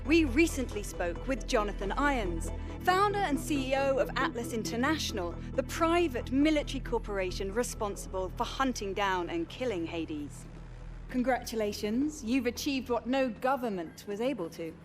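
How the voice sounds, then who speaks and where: Polished news anchor, played by a woman; higher voice, crisp broadcast read, score underneath. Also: expressive, normal speed, over music, close to an everyday voice. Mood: newsy. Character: polished female TV news interviewer. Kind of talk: video game cutscene acting.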